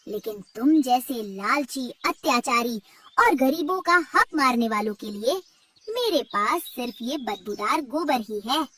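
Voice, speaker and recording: Woman doing a holy cartoon mother cow, preachy and solemn, kinda high, little far from mic. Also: lively intonation, a little slow, bright, forceful tone. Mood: stern, scolding. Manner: solemn, moralizing, declamatory. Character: righteous, divine mother cow who blesses and punishes. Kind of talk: cartoon story voice acting.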